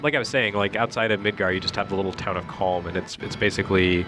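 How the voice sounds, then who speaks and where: A man's voice: low-pitched, fairly even intonation, fast. A man working as a video game critic, giving casual, opinionated commentary in a bantering, conversational way. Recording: game music playing behind the voice. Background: video game music.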